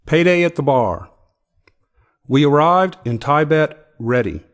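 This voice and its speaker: A man's voice: a little high, sing-song, measured pace. A man reading a narrative poem aloud, line by line. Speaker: poetry reader. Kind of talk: poetry recitation.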